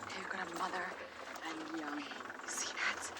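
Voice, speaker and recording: A woman safari guide giving soft-spoken, often hushed live commentary from an open vehicle outdoors. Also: low voice, normal speed, heavy background noise.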